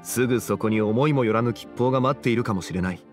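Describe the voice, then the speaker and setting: Calm, wise gentleman, mid-pitched man acting. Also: quick pace, soft background music, natural voice. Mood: gently advising. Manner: formal, archaic, composed. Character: calm, wise, courteous gentleman with old-soul gravity. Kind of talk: Japanese anime game dialogue.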